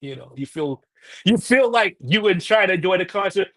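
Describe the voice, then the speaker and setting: Man, young adult, co-host, emphatic and repetitive, fairly high pitch. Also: expressive, normal speed, a bit bright. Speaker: podcast co-host. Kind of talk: casual chat.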